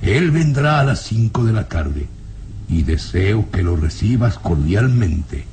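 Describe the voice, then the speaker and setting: An actor playing a grave, imperious old nobleman father in a radio drama, speaking in a commanding, deliberate way. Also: lowish voice, very animated, darkish tone, slight background noise, heavily acted. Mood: cold, commanding. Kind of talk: radionovela acting. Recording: vintage radio drama with music behind.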